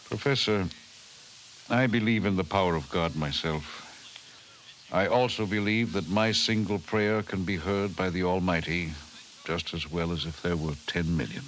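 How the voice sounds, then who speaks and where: An actor playing an authoritative president in 1950s radio drama style, formal and deliberate. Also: male voice, low voice, fairly bright tone, rough recording. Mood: resolute, somber. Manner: formal, deliberate, weighty delivery. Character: authoritative, decisive male president of a nation. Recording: hissy 1950s radio recording.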